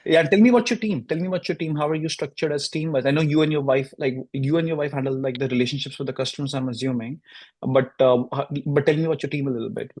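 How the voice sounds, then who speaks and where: Fast-talking eager male podcast host. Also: fairly high pitch, a little mellow. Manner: fast, eager, stumbling restarts. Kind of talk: casual interview conversation.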